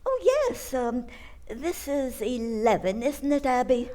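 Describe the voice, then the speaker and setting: A woman plays a kindly old aunt in radio theater. She is on the high side in pitch, cosy and innocent, and fussily precise in a cheerfully macabre way. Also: very animated, slow pace, warm tone, exaggerated voice. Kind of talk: old-time radio comedy acting.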